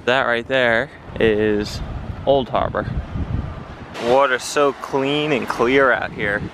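A man's voice: mid-range voice, steady tone, slow pace, noisy background. A young man casually vlogging outdoors on a handheld camera, chatting playfully to animals as he walks. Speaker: sailing vlogger. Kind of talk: casual travel vlog. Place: outdoors on an island farm and beach. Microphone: handheld action camera.

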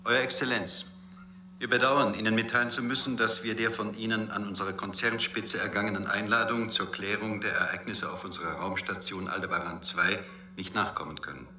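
A male corporate official in a science-fiction radio play. His voice is low and very sharp. He phrases everything with cool, officious precision, in a roomy studio sound. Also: steady tone, fairly quick. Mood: coolly officious. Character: corporate official dictating a coolly formal refusal telex. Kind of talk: formal read-out in a radio drama.

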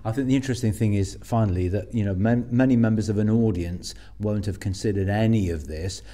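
A man hosts a podcast interview in a conversational way. His voice sits fairly low and has a soft, mellow tone. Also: fairly quick.

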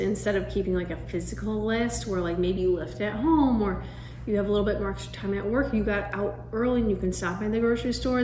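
A woman's voice: mid-range voice, reverberant, a little hiss or hum. A young woman in her twenties chatting to camera, explaining her meal prep steps casually and restarting sentences as she goes. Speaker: vlogger giving meal prep tips. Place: indoor room, seated at a wooden table. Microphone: camera across the table.

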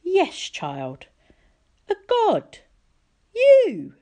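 A woman's voice: very high voice, huge pitch swings, very slow, warm tone, pitched above the performer's own voice, full character voice. A woman voicing a petulant, pompous, middle-aged male wine god in a storybook reading, whiny and theatrical. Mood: menacing. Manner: pouting, theatrical, sardonic.

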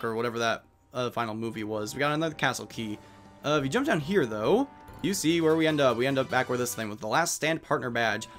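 A man's voice: mid-range voice, a bit bright. A man hosting a let's play with casual video game commentary, tossing in jokey, self-deprecating asides. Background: video game music.

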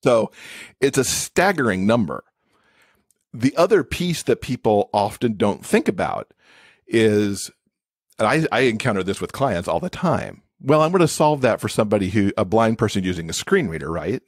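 A man's voice: lowish voice, very animated, normal speed, no echo, clean studio sound. A man speaking as an expert podcast guest, explaining things in a conversational interview style.

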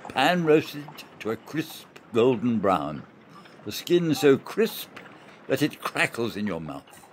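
A man playing a stubborn, gloating older officer in an amateur radio play, savoring his words with emphatic, drawn-out descriptions. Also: medium pitch, sing-song, a little hiss or hum. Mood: savoring relish. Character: stubborn, gloating older male soldier-officer relishing imagined food.

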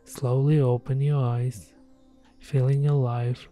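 Male, meditation guide, very even pitch, unhurried, soothing, gentle, music underneath. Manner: soothing, gentle, measured delivery. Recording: soft music behind the voice. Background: background music.

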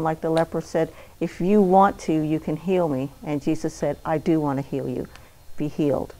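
A woman in her sixties speaks with earnest, preacherly conviction. Her voice sits very low and sounds warm and mellow on a close lapel mic. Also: rough recording. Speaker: minister and journalist. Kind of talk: unscripted testimonial.

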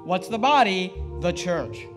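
A man in his thirties preaching an emphatic sermon at a church pulpit, firing off rhetorical questions and swinging between loud and hushed. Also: sits high, animated, measured pace, over music. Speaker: pastor. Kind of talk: fiery sermon. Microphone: podium mic.

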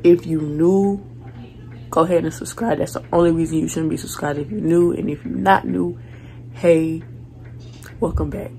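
A young woman vlogger chatting casually to her phone camera in a small bedroom, rambling and low-energy with many fillers. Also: fairly even intonation, normal speed, gravelly, pauses often, warm tone, a little hiss or hum, low-quality recording. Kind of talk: casual vlog chat to camera. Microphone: phone camera at arm's length.

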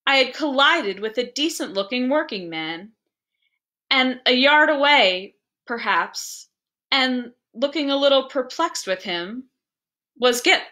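Bright-voiced woman reading a story dramatically. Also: high voice, expressive, takes it slowly. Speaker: librarian reading a story aloud. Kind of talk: expressive literary storybook narration.

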